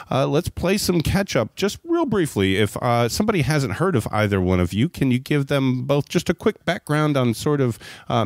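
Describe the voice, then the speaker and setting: A man's voice: mid-range voice, no room sound, studio quality. A man hosting a podcast, interviewing in an eager, rambling, conversational way that often backtracks. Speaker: podcast host.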